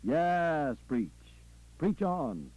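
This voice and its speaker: Man voicing fervent churchgoers, raised pitch, muffled. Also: very animated, very slow, a little mellow, dry recording, full character voice. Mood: urgent. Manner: short, repeated, pleading exclamations. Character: fervent church congregation members crying responses, raised voices. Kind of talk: call-and-response shouts.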